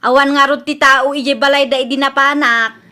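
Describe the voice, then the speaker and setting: A high, sharp-voiced young Ilocano housewife, acted by a woman, brash and evasive. She brushes people off defensively in a radio comedy drama. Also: steady tone, normal speed. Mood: excusing. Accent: Ilocano Filipino. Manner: defensive, dismissive.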